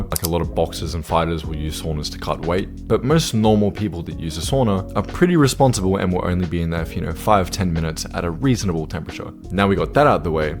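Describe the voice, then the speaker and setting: A young man narrating comedic video commentary, sarcastic and quick to swear and laugh at his own slips. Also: low-pitched, darkish tone. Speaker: YouTuber.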